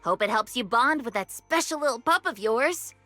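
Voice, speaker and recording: A woman's voice: a little high, animated, normal speed, bright, forceful tone, soft background music. A woman playing an earnest, slightly flustered young pet shop clerk in an anime-style video game. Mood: helpful.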